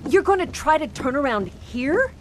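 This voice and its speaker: High-voiced, exasperated young wife. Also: female voice, sing-song, normal speed. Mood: exasperated, doubtful. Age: young adult. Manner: dry, sarcastic, nagging. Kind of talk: audio drama acting. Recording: clear rain and vehicle noise behind. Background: rain and vehicle noise.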